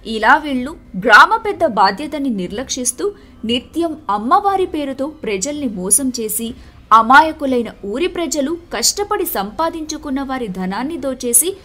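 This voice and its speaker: A woman narrating a moral story in a steady, clear storytelling manner. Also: high voice.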